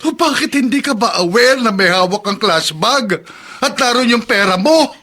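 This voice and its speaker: A man's voice: extremely high pitch, animated, normal speed, pushed and bright, exaggerated voice. A man playing an exasperated, blaming husband in a radio drama.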